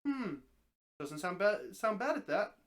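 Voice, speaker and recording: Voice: medium pitch, very animated, measured pace. A reader voicing an ordinary man in a story, hesitant and casual, wary but hopeful and prone to mild grumbling. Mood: pondering.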